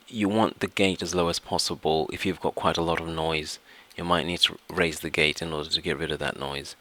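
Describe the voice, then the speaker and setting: A man presenting a step-by-step software tutorial, explaining things and often repeating and rephrasing his phrases. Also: low voice.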